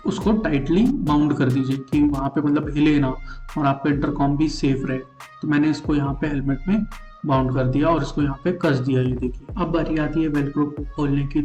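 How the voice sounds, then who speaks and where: A man's voice: fairly high pitch, darkish tone. An Indian man giving a casual, chatty, step-by-step product walkthrough as a vlogger, mixing in English words. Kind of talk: casual unboxing and installation walkthrough. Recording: roomy echo with music behind. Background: background music.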